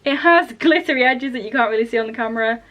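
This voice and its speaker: A woman's voice: on the high side, fast talker, fairly bright tone. A woman chatting casually to camera in a book vlog, informal and self-deprecating, with dry asides. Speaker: book vlogger.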